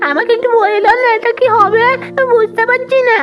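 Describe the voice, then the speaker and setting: A woman's voice: extremely high pitch, steady tone, a little fast, dull audio. A woman voicing a stubborn, foul-mouthed cartoon child with a whiny, insistent manner. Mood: pleading. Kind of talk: comic cartoon skit voice acting.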